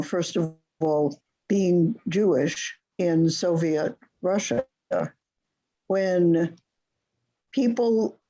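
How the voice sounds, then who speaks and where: A teacher speaks very slowly and deliberately, pausing often while leading a class discussion. The voice is mellow and soft-edged, and the sound is muffled and lo-fi like a video call. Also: mid-range voice. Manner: slow, deliberate, with long pauses.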